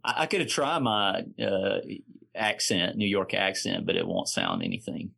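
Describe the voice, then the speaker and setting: Southern guy guesting on a podcast, lowish gravelly voice, relaxed casual chat. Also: a little slow. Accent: Southern American. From Southern United States. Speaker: podcast guest, foster and adoptive father.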